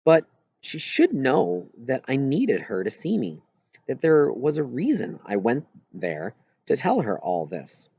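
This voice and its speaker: Man reading a story aloud, expressive pitch. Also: unhurried, vocal fry, husky, dry recording, highs cut off. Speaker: audiobook reader. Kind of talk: first-person narration of a children's novel, read aloud.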